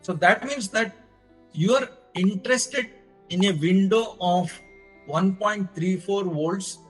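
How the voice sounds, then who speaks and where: A man with an Indian accent giving a technical lecture as a presenter, explaining deliberately step by step in short phrases. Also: sits high, breathy edge, soft background music, low-quality recording. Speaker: lecturer presenting own engineering research.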